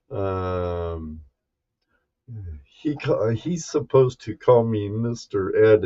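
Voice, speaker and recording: A man hosts a livestream in a very slow, folksy manner with a medium-pitched voice. He chats casually, pausing and hesitating as he addresses viewers one at a time. The audio is a little dull and lo-fi. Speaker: livestream host.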